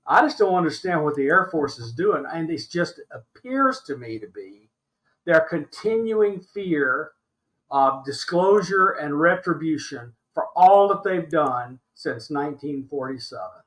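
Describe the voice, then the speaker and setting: A man's voice: on the high side, expressive, unhurried, a bit bright, rough recording. An American man in his sixties giving impassioned interview commentary as a podcast guest, emphatic and exasperated, repeating himself to drive points home. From Alabama, United States. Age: 60s.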